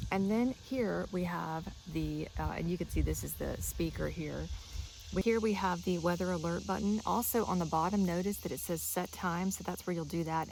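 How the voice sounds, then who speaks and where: Creaky, even-toned woman demonstrating a product. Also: lowish voice, a little hiss or hum, low-quality recording. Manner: chatty, instructional. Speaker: product reviewer.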